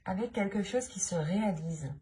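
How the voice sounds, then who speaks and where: A woman's voice: medium pitch, expressive, moderate pace, dark tone. A woman with a French accent giving a tarot reading, speaking hesitantly, with many fillers and restarts as she searches for her words. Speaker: tarot reader. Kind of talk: tarot card reading to camera.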